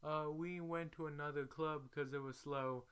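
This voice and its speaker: A man's voice: fairly high pitch, fairly even intonation, normal speed, a bit bright, clean tone, pitched above the performer's own voice, lightly acted. A man voicing a whiny, helpless young woman in a story, pleading and defensive.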